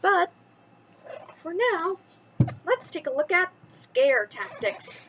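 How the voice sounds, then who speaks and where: A woman's voice: extremely high pitch, big pitch swings, slow, noisy background. A woman hosting a bedtime story reading for children, chatty and addressing the young listeners directly.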